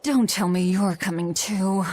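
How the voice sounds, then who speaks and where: A woman's voice: mid-range voice, unhurried, a little mellow, lightly acted. A woman playing a gloomy, hard-bitten young assassin in a video game, curt, blunt and scornful. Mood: cold, stern.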